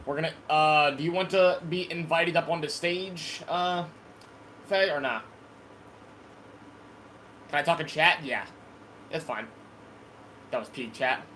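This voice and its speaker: Man hosting a stream call, hesitant, repeats himself, slightly high voice, faint noise. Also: fairly bright tone. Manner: hesitant, repetitive, friendly. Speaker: project organizer hosting a live call. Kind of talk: casual live-stream talk.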